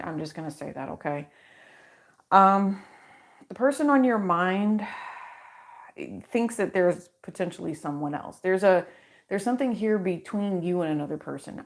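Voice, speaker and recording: Low-voiced woman giving a chatty card reading. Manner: chatty and reassuring, speaking in affirmations. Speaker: tarot reader and channel host. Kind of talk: casual livestream card reading. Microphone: microphone.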